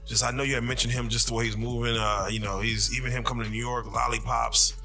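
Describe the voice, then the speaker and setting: A man with a sharp, mid-pitched voice conducts a conversational interview. He rambles as he searches for his wording, with soft music underneath. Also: flat delivery, fast talker, vocal fry.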